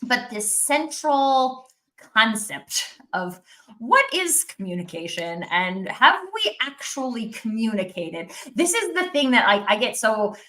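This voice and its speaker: A woman's voice: fairly high pitch, sing-song, takes it slowly, pushed and bright. A woman hosting an interview show, enthusiastic and talking through her ideas at length. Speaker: podcast host. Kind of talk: interview conversation.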